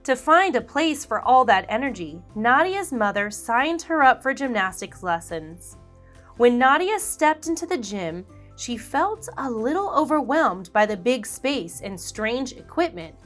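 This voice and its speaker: Woman, children's book read-aloud, lively rising and falling pitch, unhurried, faint music behind. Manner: animated, slow and clear storytelling.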